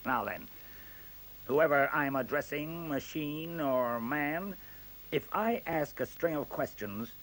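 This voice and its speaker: A man's voice: on the high side, lively intonation, takes it slowly, a bit bright, low-quality recording. A male actor playing an arrogant, sceptical professor in a radio play, with a precise and pompous manner. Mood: sceptical, challenging. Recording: faint hiss on radio play recording.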